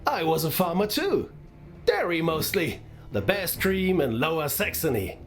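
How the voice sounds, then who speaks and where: A man's voice: on the high side, big pitch swings, a little slow, fairly bright tone. A man playing a friendly former farmer turned soldier in a video game.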